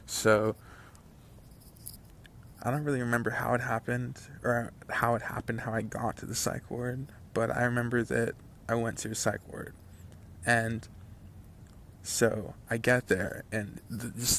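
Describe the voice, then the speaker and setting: A man's voice: medium pitch, gravelly, fairly bright tone. A man in his twenties casually telling a long story, rambling with many fillers and restarts. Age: 20s. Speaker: channel host telling a story. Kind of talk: casual story time over gameplay.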